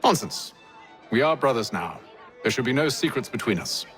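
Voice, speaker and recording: An actor playing a composed, principled gentleman leader in a video game, speaking formally with measured, deliberate phrasing. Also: mid-range voice, sing-song, faint music behind, a faint crowd. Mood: earnest, reflective. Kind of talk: video game cutscene acting.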